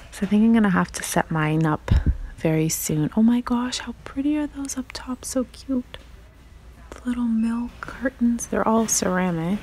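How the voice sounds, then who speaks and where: A woman whispering softly and chattily as she films herself browsing a large craft store on a handheld phone. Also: fairly low pitch, husky, low-quality recording. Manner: soft whispering, chatty and admiring. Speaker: vlogger. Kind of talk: whispered shop-with-me vlog. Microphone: handheld phone camera.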